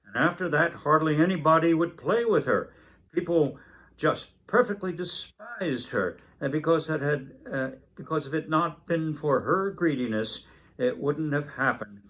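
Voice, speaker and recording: A man in his seventies reads a children's Christmas story aloud, unhurried, with small hesitations. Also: on the high side, a bit bright. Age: 70s. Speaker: story reader.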